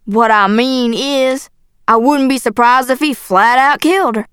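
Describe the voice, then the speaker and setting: A woman's voice: sits high, unhurried, smooth, no echo, studio quality. A woman voicing a harsh, foul-mouthed bullying father with a Southern US accent in an audiobook. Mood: angry, exasperated. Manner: menacing, contemptuous, forceful. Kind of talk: audiobook character acting.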